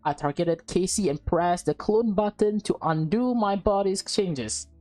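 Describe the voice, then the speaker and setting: A woman's voice: mid-range voice, a little slow, a little mellow, faint music behind. A woman reading a visual novel aloud as a let's play, switching between narration and casual commentary. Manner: reacts aloud in informal asides.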